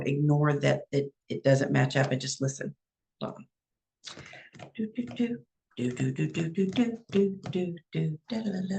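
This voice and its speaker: A woman's voice: low voice, gravelly. A woman with a Texan accent hosting a casual livestream as a psychic medium, chatty and narrating her own steps with easy laughs. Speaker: psychic medium and show host. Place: at home.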